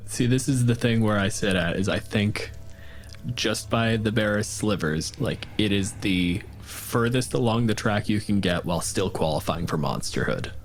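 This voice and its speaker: A man's voice: fairly low pitch, moderate pace, slight background noise. A man in a casual podcast chat about tabletop games, wry and joking.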